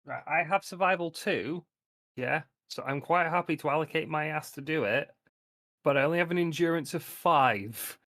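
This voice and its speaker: A man's voice: fairly high pitch, normal speed, fairly bright tone. A British man playing a tabletop game, bantering casually in a blunt, sweary, joking manner. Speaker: player in a tabletop roleplaying game.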